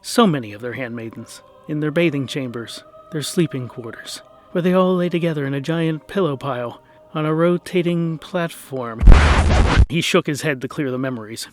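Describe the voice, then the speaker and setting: A man's voice: a little high, moderate pace, over music. A man narrating a scripted comic fantasy story in a dramatic storytelling manner with dry wit.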